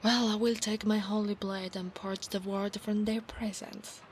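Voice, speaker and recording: A woman with an Italian accent playing a proud, obsessive female centaur knight in a soft-spoken, formal ASMR roleplay, often dropping into breathy whispers. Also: mid-range voice, flat delivery, unhurried, close to an everyday voice. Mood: fervent, bloodthirsty. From Italy. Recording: clear background noise, ambience.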